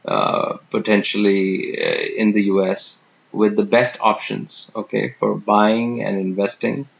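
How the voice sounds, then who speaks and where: Man from India in his fifties, low voice, slow self-introduction full of fillers, dull lo-fi recording. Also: animated, vocal fry. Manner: unhurried, many fillers and pauses. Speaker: real estate professional. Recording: dull lo-fi sound.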